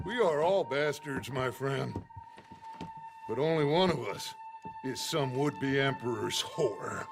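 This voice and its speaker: An actor playing a middle-aged American outlaw gang leader in a video game, grandiloquent and authoritative. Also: male voice, medium pitch, animated, slow pace, creaky, over music. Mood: contemptuous. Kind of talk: video game drama acting. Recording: game audio with loud ambient noise.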